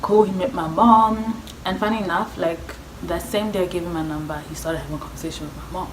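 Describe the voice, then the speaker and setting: A woman's voice: on the low side, brisk. A young woman with a South African accent telling a personal story in a chatty, slangy way, acting out the dialogue as she goes. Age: young adult. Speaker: vlogger. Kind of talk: casual personal storytelling to viewers. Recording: echoey room with clear background noise.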